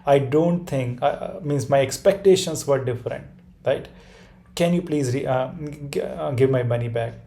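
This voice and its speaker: A man whose first language is Hindi runs an online class over a computer mic on a video call. His voice is mellow and dark, at a middling pitch. He keeps checking in with "right?" and often restarts his sentences. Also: moderate pace, creaky. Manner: repeats "right?" and restarts often, talking through a whiteboard diagram. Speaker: course instructor. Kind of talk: online webinar lesson with a sales pitch.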